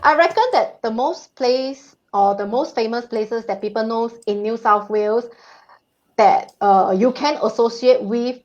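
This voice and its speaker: A cheerful woman co-hosts a travel livestream in a high voice. Her chat is bubbly and full of laughs, and the audio is dull and lo-fi. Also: measured pace, darkish tone. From Singapore. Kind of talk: casual live-stream chat.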